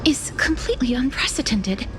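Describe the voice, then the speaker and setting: A woman's voice: high-pitched, lively intonation, moderate pace, background noise. A woman playing an earnest, thoughtful, refined young noblewoman in a video game, speaking in a pensive, formal manner. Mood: puzzled, pensive. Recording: background music.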